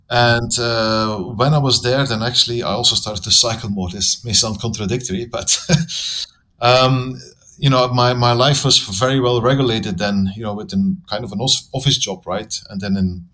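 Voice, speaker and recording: A man from Belgium with a Flemish accent, speaking as a podcast guest in a relaxed, measured way with frequent pauses and ums. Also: mid-range voice. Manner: thoughtful, measured, with many ums and restarts. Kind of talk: casual interview conversation.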